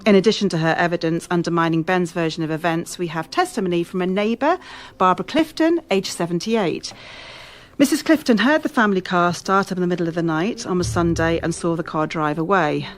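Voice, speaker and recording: An actress playing a brisk, authoritative police officer in a radio drama, speaking in a measured, official manner. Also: female voice, lowish voice, natural voice. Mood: brisk, formal. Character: brisk, authoritative female police officer leading a case briefing. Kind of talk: formal police briefing, radio drama acting.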